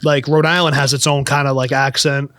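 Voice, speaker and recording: A man's voice: mid-range voice, little pitch movement, a little fast, bright, forceful tone, no room sound, studio quality. A young man with a Boston accent, hosting a casual podcast chat, swearing a lot and saying bro. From Boston area. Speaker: podcast host.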